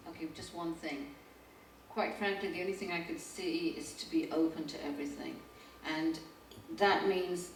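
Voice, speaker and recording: A woman's voice: sits low, gravelly. A woman spiritual teacher on a panel, giving frank and earnest advice. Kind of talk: panel discussion. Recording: echoey room, distant mic, faint noise.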